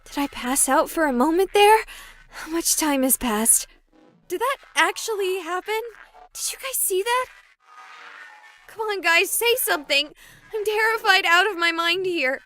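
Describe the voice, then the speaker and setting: Very high-pitched, excitable young woman streamer. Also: measured pace, faint music behind, full character voice. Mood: terrified. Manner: high-strung, dramatic. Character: excitable young woman streamer, easily panicked, exploring haunted hospital. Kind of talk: animated visual novel voice acting.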